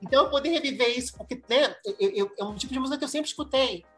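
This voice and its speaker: A woman's voice: high-pitched, rapid-fire, faint music behind. A Brazilian woman in her thirties, a singer-songwriter, talking expressively in a casual interview. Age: 30s.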